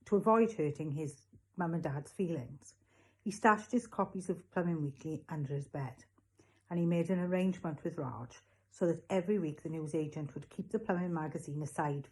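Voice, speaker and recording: A woman narrating a children's storybook as a read-along, in an expressive scripted reading style. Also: low voice. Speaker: reader, school read-along.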